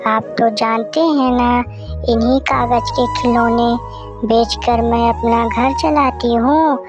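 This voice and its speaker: Woman doing a high voiced slow talking lady bird toy seller, cartoon, earnest n plucky, music behind. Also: fairly even intonation. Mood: pleading. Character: poor, plucky female bird who sells paper toys. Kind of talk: cartoon voice acting in a moral story.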